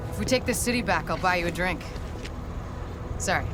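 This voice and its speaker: A woman's voice: fairly low pitch, animated, brisk, lightly acted. A woman playing a determined, loyal agent in a video game, speaking in an earnest, informal, resolute way. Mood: wry, hopeful. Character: determined female government agent, gritty and loyal. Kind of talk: video game cutscene acting. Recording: loud music behind the voice.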